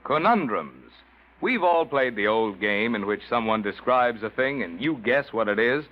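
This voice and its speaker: A man's voice: mid-range voice, animated, moderate pace, music in the background. A man announcing a sponsor message in polished, folksy 1940s radio advertising style, with emphatic delivery. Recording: dull vintage radio recording.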